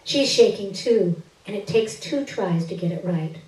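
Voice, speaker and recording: A woman giving an expressive, deliberate reading of first-person literary fiction on stage, with drawn-out phrases. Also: medium pitch. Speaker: reader of a short story on stage. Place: indoor stage, live reading. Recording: roomy stage recording, distant microphone.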